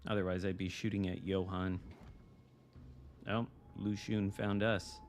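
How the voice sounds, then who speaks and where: A man with a fairly low voice and a Michigan accent talks through a live game stream. His commentary is casual and dry, with some swearing. Faint noise of game artillery and gunfire sits behind him. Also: little pitch movement, measured pace. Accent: Michigan American. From United States. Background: video game artillery and gunfire.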